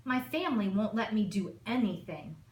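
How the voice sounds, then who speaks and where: A woman's voice: medium pitch, expressive, moderate pace, a little mellow, echoey room, recorded from a distance, a little hiss or hum, lightly acted. A woman voicing a small, timid but determined little girl in a storybook, with a plaintive, emphatic delivery. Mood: doubtful, plaintive.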